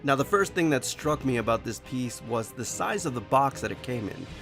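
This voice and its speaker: A man narrates a collectible statue review while sick, his voice sitting deeper than usual. He talks at a middling pitch, piling on eager praise. Music plays clearly beneath him. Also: expressive, normal speed. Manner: effusive, enthusiastic praise. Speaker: collectible toy reviewer, show host. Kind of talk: product review voice-over. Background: background music.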